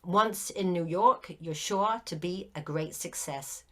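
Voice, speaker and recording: A woman's voice: fairly low pitch, takes it slowly. A woman reading a story aloud, voicing an earnest young girl who scolds with prim indignation. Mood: scolding.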